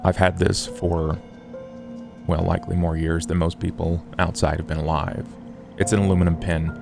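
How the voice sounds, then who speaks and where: A man playing a scholarly, formal vampire in a tabletop game, speaking in measured, wordy phrases over music. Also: flat delivery. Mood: earnest, explanatory. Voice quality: low, gravelly fry. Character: scholarly, formal, morose male vampire occult theorist. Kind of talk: in-character tabletop roleplay.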